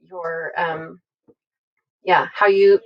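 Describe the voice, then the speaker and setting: Female American interviewer, a little low in pitch, casual and encouraging, recorded off-mic and dull. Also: steady tone, extremely slow. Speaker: interviewer and host. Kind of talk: casual chat. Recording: distant, slightly muffled mic.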